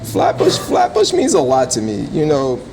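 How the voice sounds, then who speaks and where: A man's voice: medium pitch, huge pitch swings, normal speed, dark tone, faint music behind. A man, talking casually and emphatically in slang as a restaurant owner being interviewed. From born in Jamaica, raised in Brooklyn from age five.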